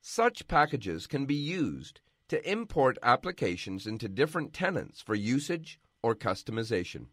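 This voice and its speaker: A man giving scripted, measured narration for a software tutorial. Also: medium pitch, big pitch swings, breathy edge, no room sound, studio quality. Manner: measured, instructional.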